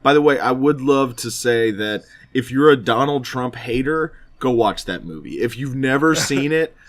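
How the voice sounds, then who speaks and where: A man co-hosting a podcast in casual chat about films, speaking in an animated, opinionated way with frequent swearing. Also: mid-range voice, moderate pace. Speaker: podcast co-host.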